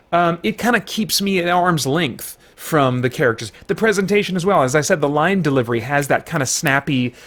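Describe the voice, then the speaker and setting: A man talking through a film review in a casual, conversational way, rambling a little as he explains his opinions. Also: medium pitch. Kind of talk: casual spoken movie review.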